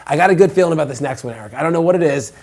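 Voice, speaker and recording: A man hosting an online tech pitch show, talking like an energetic salesman who repeats his words. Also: rapid-fire. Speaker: show host.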